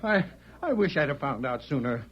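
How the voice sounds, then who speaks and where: An actor playing a nervous, apologetic frontier clerk with a western American accent, stammering in a radio western. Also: male voice, medium pitch, sing-song, normal speed, breathy edge. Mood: anxious apologetic. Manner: hesitant, stammering. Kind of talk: 1940s radio western acting. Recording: muffled lo-fi old radio recording.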